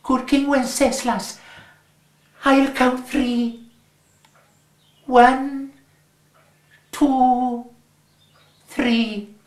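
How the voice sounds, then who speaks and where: A man's voice: very high-pitched, level tone, very slow, pauses often, pitched above the performer's own voice, full character voice. A man with a Welsh accent reading the lines of a mischievous young boy in a storybook, with a coaxing, conspiratorial manner. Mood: bossy. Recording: roomy echo.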